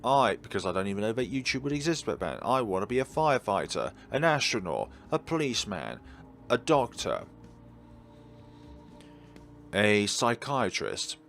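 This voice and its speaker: A man's voice: on the low side, sing-song, moderate pace, gravelly, a bit bright. A man giving casual, rambling and earnest commentary while playing a visual novel. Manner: rambling, earnest philosophical musing. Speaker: video game let's player.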